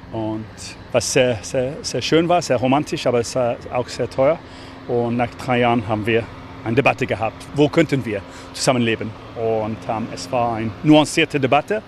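English man, halting German, street noise. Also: mid-range voice. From England. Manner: hesitant, lively, many fillers. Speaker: guest, walking tour guide. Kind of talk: casual chat while walking. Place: outdoors on city streets.

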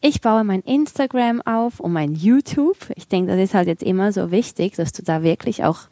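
A woman co-hosting a podcast, speaking as a non-native speaker. Her delivery is lively and earnest, with English words slipping in. The recording is dry and close. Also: mid-range voice, moderate pace, no echo. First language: not German. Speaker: podcast co-host. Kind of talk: casual chat.

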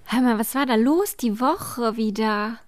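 A woman with a somewhat high voice co-hosts a casual podcast. She talks informally and with a teasing touch. The recording is very dry, with no room sound. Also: measured pace, dry recording. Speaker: podcast co-host.

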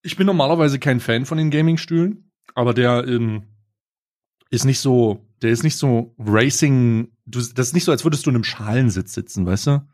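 A man's voice: mid-range voice, moderate pace, darkish tone. Male co-host, mid-range voice, meandering casual chat with slang and jokes, close podcast mic. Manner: rambling, joking, slangy.

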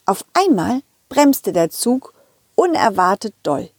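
A woman's voice: mid-range voice, big pitch swings, takes it slowly, a little mellow, slightly rough, dry recording. A woman storyteller narrating a story for children. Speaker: puppeteer, storyteller.

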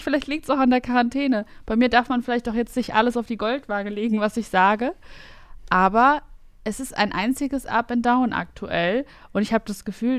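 A woman in her late twenties hosting a podcast, thinking out loud in casual chat with a reflective, self-mocking manner. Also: on the high side, no room sound, studio quality. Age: late 20s. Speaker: podcast host.

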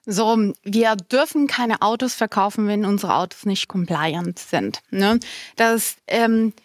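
A woman's voice: medium pitch, takes it slowly, no room sound, studio quality. A woman who is a native Spanish speaker, half Colombian and half German, answering conversationally as a podcast guest. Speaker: podcast guest, corporate compliance manager.

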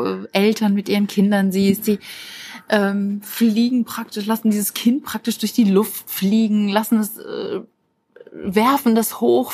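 A woman's voice: mid-range voice, a little mellow. A woman giving an informal motivational talk as a life coach, speaking directly and encouragingly to the listener. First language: German.

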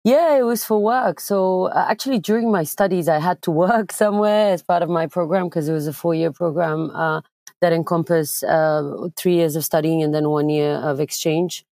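Low-voiced woman from Bulgaria, direct. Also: warm tone, dry recording, clean studio sound. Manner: direct, measured, frequent filler pauses. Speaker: guest. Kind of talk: conversational interview.

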